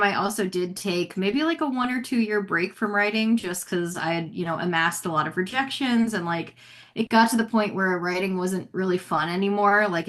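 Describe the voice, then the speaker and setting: A woman's voice: fairly low pitch, a bit bright. An American woman speaking as an interview guest, reflecting casually with lots of "like" and "you know". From United States. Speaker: guest author.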